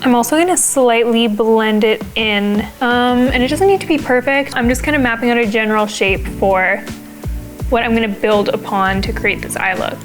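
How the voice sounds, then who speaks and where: A young woman, slightly raspy as she gets over a cold, explaining a makeup look step by step in a casual, chatty way. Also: fairly high pitch, moderate pace, music in the background. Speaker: beauty vlogger.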